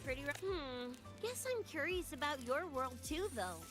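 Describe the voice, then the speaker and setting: A very high, wildly animated voice leaps around as a brash young punk girl from a video game. She is slangy and bouncy, full of exclamations, with loud music underneath. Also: normal speed. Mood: chatty, curious. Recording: loud music over the dialogue.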